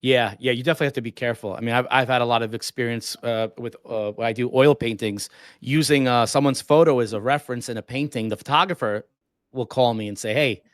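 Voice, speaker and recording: A man hosting a relaxed interview, talking things through with many fillers and restarts. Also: mid-range voice, fast, no room sound, studio quality. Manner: rambling, thinks aloud with fillers. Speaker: host. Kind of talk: casual interview chat.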